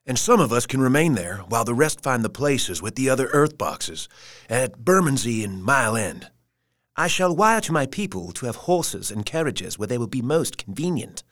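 A resolute young nobleman, played by a man in a radio drama, calmly laying out the plan. His pitch moves in a lively way and the recording is dry and close. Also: normal speed, no echo. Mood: decisive. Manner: decisive, planning.

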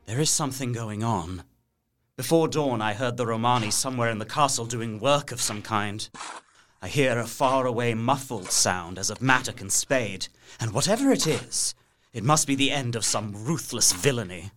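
A man's voice: medium pitch, animated, moderate pace, a bit bright, slight background noise, close to an everyday voice. An actor playing a distressed young captive narrating his journal in an audio drama, earnest, intense and formal. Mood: tense, watchful. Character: distressed young Englishman imprisoned in a castle, journaling.

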